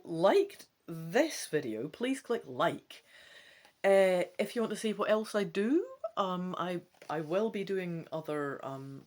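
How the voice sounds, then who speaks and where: Expressive woman with very lively pitch. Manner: theatrical, expressive, wry. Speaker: gamebook let's play presenter. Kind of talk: dramatic story reading with chatty asides.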